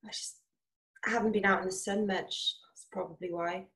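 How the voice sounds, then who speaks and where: A woman's voice: mid-range voice, fairly even intonation, a little fast, creaky, a bit bright. An actress playing a weary, strained adult daughter in a radio play, hesitant and guarded with her mother.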